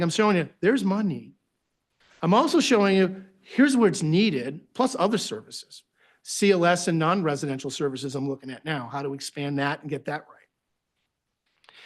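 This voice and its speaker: A man with a Boston accent, giving a conversational presentation to a board at a public meeting, with phrase ends that trail off. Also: on the high side. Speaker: agency director presenting to a board.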